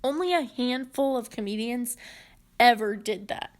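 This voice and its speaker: A woman presenter delivers prepared explanatory narration. Her voice sits a little high, and she moves very slowly through her points in a measured, deliberate manner. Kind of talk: prepared video-essay narration on comedy history.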